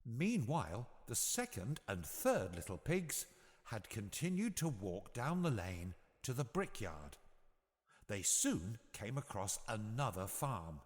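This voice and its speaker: Male children's storyteller, unhurried pace, very animated intonation, expressive, long reverb. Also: lowish voice. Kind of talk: scripted children's bedtime story narration.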